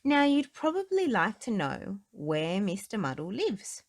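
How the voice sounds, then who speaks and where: A woman narrating a children's storybook aloud in an expressive style. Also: medium pitch, unhurried.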